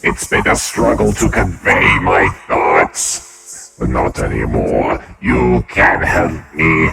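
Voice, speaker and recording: A man's voice: low-pitched, exaggerated voice. A man voicing a weary, pleading male void creature through a voice changer. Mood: earnest. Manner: slow, gravelly, desperate pleading. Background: loud game music.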